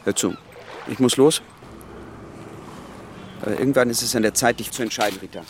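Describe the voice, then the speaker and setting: A man's voice: mid-range voice, fairly quick, a little hiss or hum, natural voice. An actor playing an urgent man demanding answers and commitment in a radio drama. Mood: insistent.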